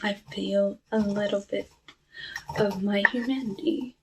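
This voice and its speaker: A woman playing a lonely cursed witch in an ASMR roleplay, talking softly and wistfully with halting, hushed delivery over a noisy recording. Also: medium pitch, fairly even intonation, close to an everyday voice. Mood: melancholy. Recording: clearly noisy with clicks.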